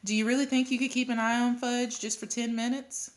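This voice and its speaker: Woman as a harried mother, pitched up. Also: little pitch movement, brisk, slight background noise, close to an everyday voice. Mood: coaxing. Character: harried, coaxing mother of young boys.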